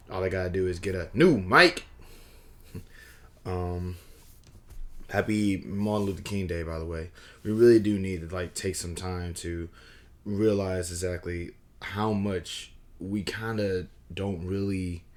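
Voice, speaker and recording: A hoarse man vlogging casually, rambling with frequent restarts and a wry, conversational manner. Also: low-pitched, a little mellow. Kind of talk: casual talking-head vlog.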